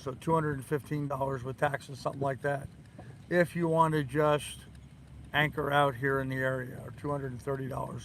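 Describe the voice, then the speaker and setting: An older man with a hoarse voice, hosting a rambling, casual live stream in a halting, repetitive way. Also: on the high side. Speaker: live-stream host of a sailing channel. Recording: loud wind and boat noise.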